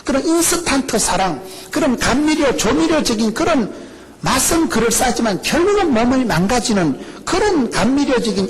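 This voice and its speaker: A middle-aged male pastor with a Gyeongsang accent, preaching a lively, joking sermon full of rhetorical questions. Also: sits high, rough recording. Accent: Gyeongsang Korean. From rural South Korea. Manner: animated, jokey preaching with rhetorical questions and laughs.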